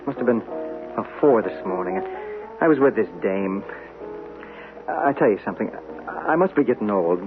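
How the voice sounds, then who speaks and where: An actor playing a fast-talking male agent who stammers through a guilty confession, in a vintage radio drama. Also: on the low side, animated, over music. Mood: confessional. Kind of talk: 1970s radio drama acting. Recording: tinny old radio broadcast.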